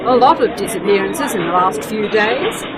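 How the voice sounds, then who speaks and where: A woman playing a deadpan police sergeant who reports clinically in a radio play. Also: medium pitch, moderate pace, crowd noise, lightly acted, rough recording.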